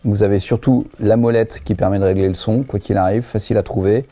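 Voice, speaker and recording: A man's voice: fairly low pitch, fairly even intonation, fairly quick, dark tone. A man giving a casual, chatty product review, explaining things in colloquial language. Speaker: motorcycle gear reviewer, host.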